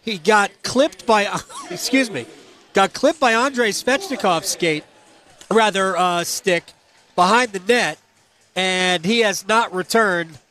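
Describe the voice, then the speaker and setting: A man hosting a hockey radio intermission, talking through highlights in an unscripted, halting way and often correcting himself. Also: pushed and bright. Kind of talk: unscripted sports highlight commentary.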